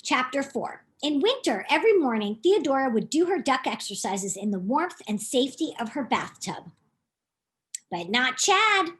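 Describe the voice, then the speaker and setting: A woman reading a children's book aloud in an expressive, playful storytime style, chatting to young listeners as she goes. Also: sits high, moderate pace. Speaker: author reading her own children's book.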